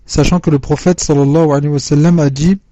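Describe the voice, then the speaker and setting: A man reading out listeners' written questions in a measured, formal way, on a dull, dry lo-fi recording. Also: fairly high pitch, fairly even intonation, warm tone, dry recording. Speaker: question reader.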